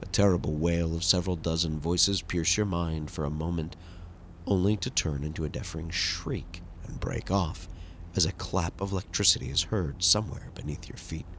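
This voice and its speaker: A man narrating a video game playthrough in a measured, dramatic storytelling way. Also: low voice, fairly bright tone, slight background noise. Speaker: host and voice actor of a game playthrough.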